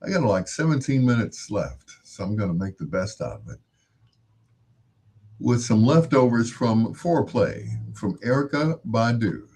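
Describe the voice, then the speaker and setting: A man's voice: medium pitch, very animated, measured pace, a little mellow, slightly rough. A man hosting a music radio show, back-announcing songs in a casual, musing way. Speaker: radio host and DJ.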